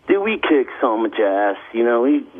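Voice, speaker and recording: A man's voice: medium pitch, very animated, normal speed. A man calling into a radio show, rambling and bragging with lots of fillers. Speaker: caller. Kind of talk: phone call into radio show. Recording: tinny phone line.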